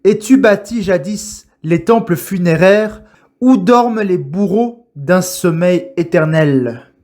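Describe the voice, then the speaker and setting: A man reciting a poem in a solemn, dramatic style, with declamatory, measured phrasing and deliberate pauses. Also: high-pitched, expressive, a little mellow. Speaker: poetry reciter.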